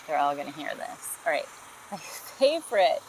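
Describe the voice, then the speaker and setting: Chatty woman, low voice. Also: sing-song, normal speed, background noise. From Texas. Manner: chatty, friendly, uses y'all. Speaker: host. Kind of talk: casual product demo vlog.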